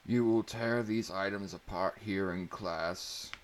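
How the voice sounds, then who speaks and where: A man's voice: low voice, slow pace, slight background noise. A man voicing an irritated, sardonic woman schoolteacher with an emphatic, theatrical delivery in a dramatic story reading. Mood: instructing.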